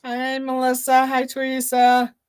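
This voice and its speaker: A woman co-hosting a casual livestream over a remote link, easygoing, reading out chat names slowly. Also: high-pitched, monotone, smooth. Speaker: co-host on stream.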